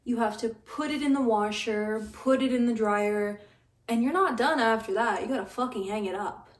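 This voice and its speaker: A woman's voice: on the high side, normal speed. Wry young woman in an echoey room. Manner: wry, deadpan complaining with stammered restarts. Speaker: vlogger. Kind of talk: casual vlog monologue. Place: apartment room. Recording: echoey room, mic at a distance.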